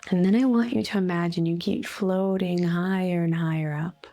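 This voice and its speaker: A woman leading a guided meditation in a soothing, unhurried, gently instructive way, with breathy phrase endings. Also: fairly low pitch, steady tone. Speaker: guided meditation host, energy healer. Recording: soft music bed behind voice. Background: faint music.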